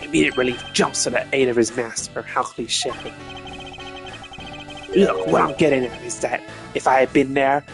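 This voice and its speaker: A man's voice: mid-range voice, normal speed, creaky, over music, lightly acted. A man voicing a brash, proud, scornful Interpol agent in a video game, with a swaggering, slangy, confrontational manner.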